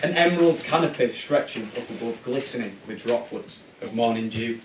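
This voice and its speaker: A man's voice: medium pitch, animated, normal speed, a little hiss or hum. A man narrating a live puppet show in an irreverent, informal, ad-libbing comedic storytelling style. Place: live show in a room, music behind. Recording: roomy, distant live capture with loud music.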